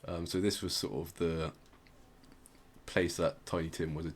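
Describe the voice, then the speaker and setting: A man with a very low voice gives an informal music history talk as a podcast host. His delivery halts and restarts as he feels for the right word. There is clear background noise on the recording. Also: flat delivery, moderate pace.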